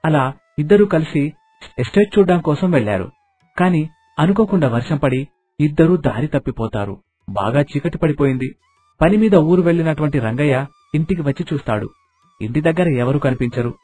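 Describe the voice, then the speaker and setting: A man's voice: on the high side, animated, normal speed, long pauses, dark tone, husky. A man reading out a scripted story as a storyteller. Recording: narration over background music.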